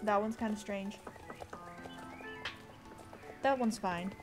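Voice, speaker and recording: A woman's voice: mid-range voice, slow pace, over music. A young woman streaming a horse game, chatting casually from home on a headset and reading viewer messages aloud. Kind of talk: casual livestream chat. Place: home room at a gaming setup.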